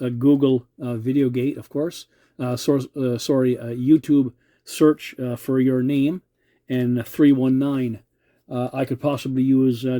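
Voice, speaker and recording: A middle-aged or older man with a very warm, mellow voice recorded close and dry. He talks casually as a radio hobbyist, polite and measured. He often hesitates and doubles back on his words. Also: medium pitch, no room sound. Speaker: amateur radio operator hosting a video channel. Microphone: cell phone filming the radio.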